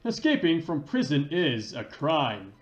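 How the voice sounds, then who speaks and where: Man putting on a cocky, animated detective chief. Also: a little high, unhurried. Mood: determined, confident. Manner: swaggering, says "baby" as a tag. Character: cocky, devoted male special investigations chief. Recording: dull lo-fi roomy mic.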